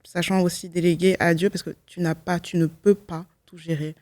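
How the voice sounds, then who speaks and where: A woman's voice: fairly low pitch, little pitch movement, moderate pace, darkish tone, no echo. A woman, giving a personal testimony in a reflective, hesitant way, often correcting herself. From Belgium. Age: 20s. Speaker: guest, law student giving testimony.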